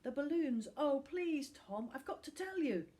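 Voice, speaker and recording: A hoarse, frightened young boy begs for help in a pitched-up, pleading voice, as a woman puts him on. He sounds croaky and urgent, his voice climbing high. It is a storybook read aloud. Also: animated, unhurried, mellow. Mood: desperate pleading.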